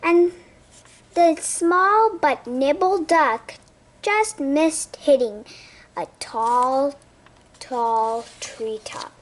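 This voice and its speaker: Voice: sits high, extremely slow. A child reading a picture story aloud expressively, with sound effects and asides to young listeners. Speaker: storybook reader.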